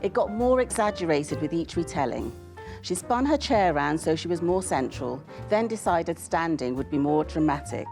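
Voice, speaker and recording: Creaky, low-pitched woman reading. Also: expressive, moderate pace. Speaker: author. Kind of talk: scripted talk and audiobook-style reading. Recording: music bed under the voice. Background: music.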